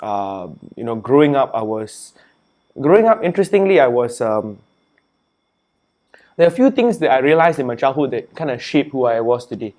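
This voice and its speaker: A young man from Singapore talks easily as an interview guest, his voice sitting at an ordinary middle pitch. He is relaxed and chatty, leaning on "you know" and "man" as he tells his story. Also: moderate pace. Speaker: interview guest, author and entrepreneur. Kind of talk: casual interview conversation.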